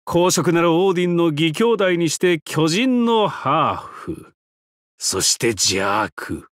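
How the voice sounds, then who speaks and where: A man's voice: on the high side, expressive, dry recording, studio quality. An actor voicing a depraved, mocking trickster giant-god held prisoner in a game, theatrical and taunting, drawing out his words. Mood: smug, theatrical. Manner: theatrical, taunting, drawn-out words, sudden swoops and cackles. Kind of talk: theatrical game character voice lines.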